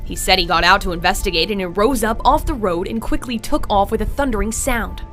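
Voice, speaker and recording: A woman's voice: a little high, a bit bright. A woman reads a scripted television-style news report as a reporter. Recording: music bed under the voice. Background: background music.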